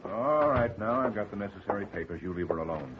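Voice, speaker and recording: Man as a defensive suspect, indignant, deep voice, old radio play, dull sound. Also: lively intonation, fast talker, background noise, natural voice. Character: indignant middle-aged male suspect. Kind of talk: 1950s radio drama acting.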